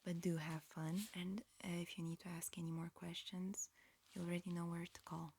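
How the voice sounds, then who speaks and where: A woman performing a whispered ASMR roleplay, mixing breathy whispers with soft speech in a halting, matter-of-fact, instructional manner. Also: lowish voice, level tone, a little hiss or hum. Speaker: ASMR performer playing an alien examiner.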